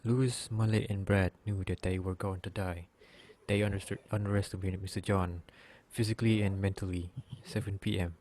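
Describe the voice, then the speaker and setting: Low, mellow male narrator, reading haltingly. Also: steady tone. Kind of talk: scripted narration, read aloud.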